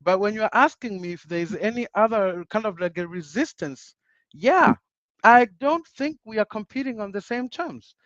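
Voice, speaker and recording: A man's voice: sits high, lively intonation, moderate pace, a bit bright, no echo. A man from Uganda, a guest on a panel discussion, talking earnestly, restarting phrases and repeating himself for emphasis. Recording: dull lo-fi dry sound.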